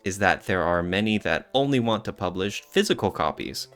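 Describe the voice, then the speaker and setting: A man's voice: low voice, lively intonation, normal speed, a bit bright, clear, resonant tone. A man co-hosting a podcast in casual discussion, often searching for his words. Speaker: podcast co-host.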